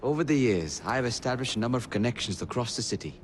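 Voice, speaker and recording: A man playing a measured, courteous Victorian spymaster and helpful ally in a video game cutscene, slightly hesitant. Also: mid-range voice, lively intonation, slight background noise, close to an everyday voice. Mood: composed, explaining.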